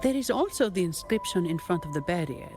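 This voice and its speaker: A woman playing a composed young adventurer in a video game, calm and deliberate. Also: on the low side, lightly acted. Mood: pondering. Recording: loud music behind the voice. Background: loud game music.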